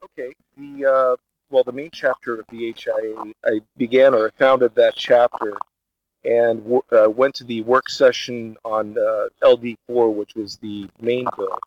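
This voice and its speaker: A man who grew up in Brazil with Portuguese as his first language, talking as a podcast guest and pausing on many filler sounds. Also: mid-range voice, level tone, slight background noise. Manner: hesitant, many filler uhs. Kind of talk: casual interview talk. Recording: dull, dry lo-fi sound.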